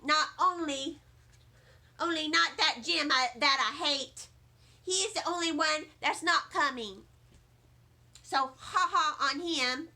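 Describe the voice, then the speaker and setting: A woman voicing an excitable, indignant little girl from a storybook, with childish grammar and emphatic, repeated phrases. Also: high-pitched, slow pace, pauses often, exaggerated voice. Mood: excited, bossy.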